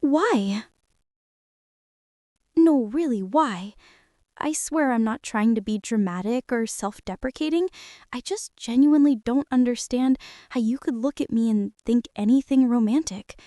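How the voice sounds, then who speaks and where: A woman playing a shy, self-doubting teenage art girl in a girlfriend ASMR roleplay, speaking in a nervous, halting, rambling way. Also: a little high, dark tone, no room sound, clean studio sound, natural voice. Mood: disbelieving, insecure.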